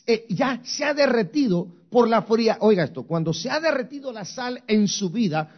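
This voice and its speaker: A man's voice: very high-pitched, lively intonation, normal speed, dull audio. A man from Guatemala preaching a sermon as pastor, with emphatic, rising and falling intensity. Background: soft music.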